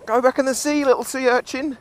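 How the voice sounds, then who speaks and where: A man's voice: very high-pitched, fairly even intonation, fairly quick. A British man giving casual, jokey, sweary commentary as he vlogs outdoors on a seashore. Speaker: beachcombing vlogger.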